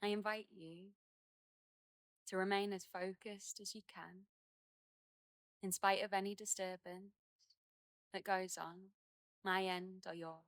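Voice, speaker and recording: Woman gently guiding meditation, very even pitch. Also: no room sound. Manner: gentle, unhurried phrasing with many pauses. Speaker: meditation guide. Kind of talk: guided meditation, slow and soothing instruction. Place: outdoors in a garden.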